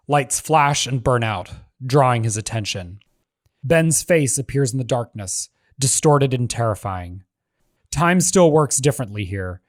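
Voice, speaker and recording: A man narrating the scene descriptions of a screenplay in a measured, even reading. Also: medium pitch, no echo, clean studio sound. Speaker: narrator reading stage directions.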